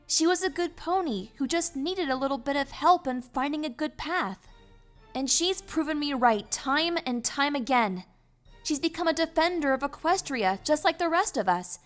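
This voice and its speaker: A woman's voice: high voice, fairly bright tone. A woman playing an earnest, easily flustered young pony princess, defensive and emphatic, in a cartoon fan audio drama.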